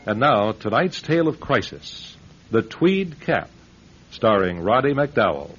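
A man hosting and narrating a radio drama from a script, dramatic and deliberate. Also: medium pitch, sing-song, fairly bright tone. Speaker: host and narrator. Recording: dull, lo-fi radio broadcast. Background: music.

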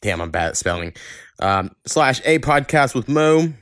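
A man's voice: medium pitch, big pitch swings, measured pace, a bit bright, clean tone, dry recording, clean studio sound. An American man hosting a podcast in casual chat, with an informal, rambling, laid-back manner. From Oklahoma, United States. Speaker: podcast host.

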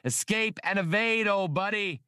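A man's voice: high-pitched, a little slow, clean tone, no room sound, clean studio sound, pitched above the performer's own voice. A man voicing a brash, daring space smuggler who hollers urgent orders in an audiobook. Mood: urgent, hollering. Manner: shouting urgently.

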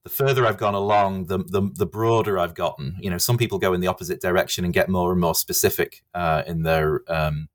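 Man, very low voice. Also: fairly even intonation, a little fast, hardly any pauses. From England. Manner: hesitant, many uhs and restarts. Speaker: history professor, guest author. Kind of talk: podcast interview.

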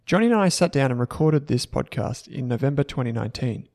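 A man's voice: mid-range voice, lively intonation, fast talker, gravelly, a little mellow. An Australian man hosting an investing podcast, reading an ad and then telling a story to his guest in a chatty way with fillers. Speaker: podcast host. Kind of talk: podcast interview with read ad.

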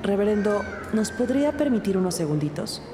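A woman's voice: mid-range voice, very animated, brisk, lightly acted. A woman playing a detective who narrates her case in a scripted audio drama. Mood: polite questioning. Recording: clear background music under voice.

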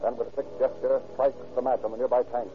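A man narrating a 1930s radio crime drama in a dramatic, measured storytelling manner, also serving as the show's announcer. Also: medium pitch, level tone, over music. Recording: tinny 1930s radio recording.

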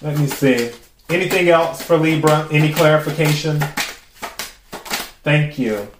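Raspy man giving a chatty tarot reading. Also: on the high side, background noise. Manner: chatty, muses aloud, drawn-out pauses. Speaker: tarot reader and podcast host. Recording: roomy echo.

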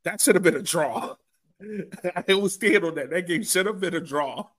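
A man's voice: high-pitched, normal speed, a bit bright. A man hosting a soccer podcast, talking casually in a rambling way and often repeating himself. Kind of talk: casual sports discussion.

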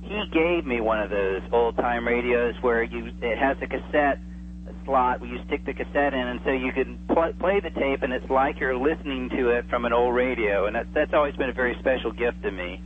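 A man in his forties chatting as an interview guest, enthusiastic and reminiscing, often halting and repeating words, heard over a phone. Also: medium pitch. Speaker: interview guest, radio drama producer. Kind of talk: casual chat, reminiscing. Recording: tinny phone line with hum and faint noise.

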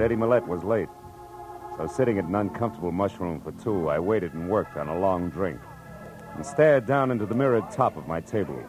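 Man acting hard-boiled radio detective, low voice, wry terse narration, 1940s style, old recording. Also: a little mellow, natural voice, rough recording.

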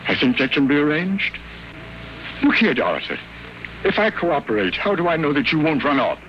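A faintly noisy old radio drama features a rich, stern older businessman. He speaks formally and demandingly, with a bright, forceful voice and lively swings of pitch, always suspicious. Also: moderate pace. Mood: brisk, guarded.

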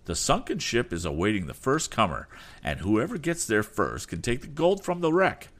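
A man's voice: medium pitch, big pitch swings, moderate pace, voice pushed up. A man voicing an insolent, smug rival businessman in a storybook reading. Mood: bold. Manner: sneering, pushy.

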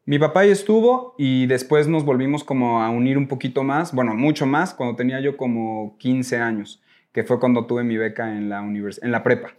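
A man's voice: medium pitch, a little fast, a little mellow. Mexican man chatting informally as a guest. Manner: informal, self-correcting, rambling. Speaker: interview guest. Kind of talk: casual personal storytelling.

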